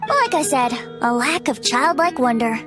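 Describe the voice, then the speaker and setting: A woman's voice: high-pitched, very animated, moderate pace, over loud music. A woman voicing a whimsical, theatrical young bard in a video game, with a playful, flowery, musing manner.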